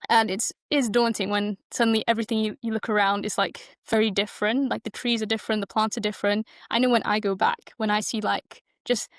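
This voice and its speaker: Young woman thinking aloud, fairly even pitch. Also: brisk, hardly any pauses, no room sound. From Malaysia, moved to the UK as a child. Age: young adult. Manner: informal, loosely structured, thinking aloud. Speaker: podcast guest. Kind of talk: casual, reflective discussion.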